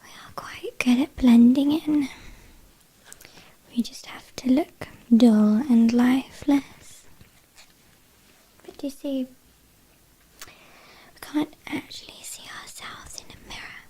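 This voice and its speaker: Actress as snobbish British vampire kidnapper for ASMR: female, light high pitch, soft breathy whisper, slow and condescending. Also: heavily acted, rough recording. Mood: condescending, menacing. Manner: slow, disdainful, dryly teasing.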